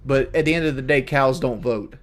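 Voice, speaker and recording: A man's voice: medium pitch, a little fast, soft background music. A man in his thirties ranting about politics on stream, emphatic and profane, with lots of restarts. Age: 30s. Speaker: streamer in a clipped video. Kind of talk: heated political rant.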